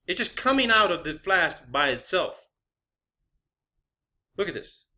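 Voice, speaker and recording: A man's voice: sits high, sing-song, a little slow, bright, forceful tone. A man speaking as an online video creator in an impassioned, profane rant, combative and emphatic, repeating phrases to drive points home.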